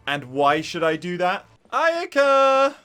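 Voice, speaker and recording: A streamer gives casual live reactions to a game. The pitch swings wildly and the pace is slow and drawn out, playful and theatrical. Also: big pitch swings, fairly bright tone, clean tone, soft background music.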